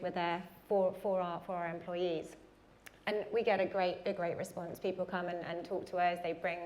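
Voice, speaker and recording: Chatty woman on a panel. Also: medium pitch. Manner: chatty, with frequent ums. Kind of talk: conference panel answers. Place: conference hall.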